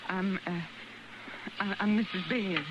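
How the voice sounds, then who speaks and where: An American actress playing an anxious, ashamed frontier wife who stammers timidly in a radio western. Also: female voice, mid-range voice, steady tone, unhurried, close to an everyday voice. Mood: nervous, ashamed. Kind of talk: 1950s radio western acting. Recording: muffled, noisy old radio recording.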